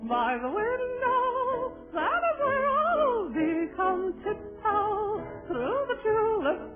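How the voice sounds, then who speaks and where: Extremely high, tinny singing over music. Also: female voice, sing-song, slow. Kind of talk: slow old-fashioned novelty song, sung in a very high voice. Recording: tinny band-limited old song playback. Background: loud music.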